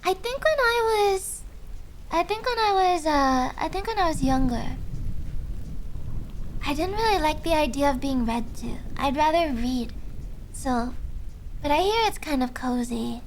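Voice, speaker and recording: A young woman streaming, chatting softly and hesitantly with viewers with many fillers. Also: sits high, expressive, a little slow, long pauses, a little hiss or hum. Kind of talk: casual chat with viewers. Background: faint background music.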